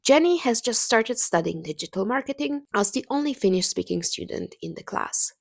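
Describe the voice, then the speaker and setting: Creaky-voiced woman reading a podcast intro. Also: a little high, lively intonation, normal speed, a little mellow. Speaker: podcast host.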